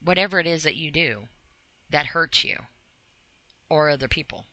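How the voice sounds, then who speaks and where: Bright-voiced woman, conversational and earnest. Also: low voice, moderate pace, dry recording, muffled recording. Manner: conversational, earnest, rhetorical questions to the listener. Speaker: podcast host reading and commenting on a sobriety e-book. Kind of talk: reading aloud with informal motivational commentary.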